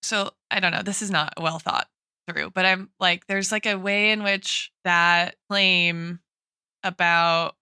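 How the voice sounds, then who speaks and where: A woman's voice: lowish voice, steady tone, slow pace, sharp tone, clear, resonant tone, dry recording, studio quality. A woman co-hosting a podcast, reasoning aloud in a conversational, academic way and often phrasing her points tentatively. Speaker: podcast co-host. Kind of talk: analytical conversational discussion.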